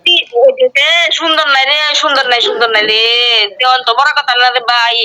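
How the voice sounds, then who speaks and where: Casting: high-pitched, piercingly bright voice, eastern Bengali village dialect, colloquial and repetitive casual call talk. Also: quick pace, smooth. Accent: eastern Bengali rural dialect. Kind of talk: casual phone chat.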